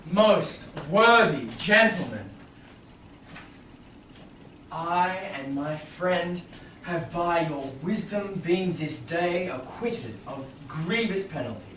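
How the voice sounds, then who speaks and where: A man playing an earnest, grateful young gentleman in a Shakespearean stage reading. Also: fairly high pitch, lively intonation, slow pace, heavily acted. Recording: distant echoey small theatre, background noise.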